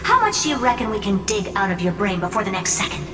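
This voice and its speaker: An actress playing a confident, mischievous genius in a video game, smug and theatrical. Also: female voice, mid-range voice, lively intonation, fast talker, roomy sound, in a large hall, distant mic, over music, lightly acted. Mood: smug, gleeful. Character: confident, mischievous female genius relishing a contest.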